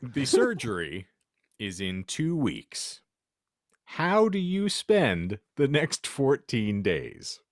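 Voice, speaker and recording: A man's voice: mid-range voice, huge pitch swings, slow pace, no room sound, clean studio sound. A man hosting a casual podcast, bantering and reading aloud in a wry, mock-grandiose way. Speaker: podcast host.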